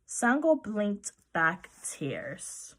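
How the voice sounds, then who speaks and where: Female read-aloud narrator, lively swooping intonation, expressive picture-book storytelling. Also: mid-range voice, very slow.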